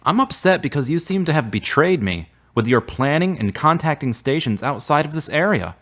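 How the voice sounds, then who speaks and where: A man playing an earnest, devout young man in a radio drama, with measured, formal, preachy phrasing. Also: on the high side, big pitch swings, a bit bright. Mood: hurt, accusing.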